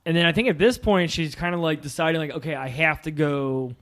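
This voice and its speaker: A man's voice: on the high side, fairly quick, fairly bright tone. A man hosting a film podcast, talking casually and steering the discussion along.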